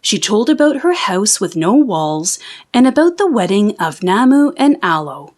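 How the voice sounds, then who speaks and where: A woman reading a children's book aloud as an expressive storyteller. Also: mid-range voice, normal speed. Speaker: storybook reader. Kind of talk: children's read-aloud narration.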